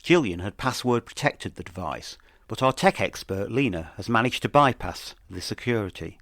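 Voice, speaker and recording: A man with a slightly low voice narrates as a true-crime podcast host. The delivery is scripted, serious and measured, recorded close and dry. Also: lively intonation, a bit bright, no echo.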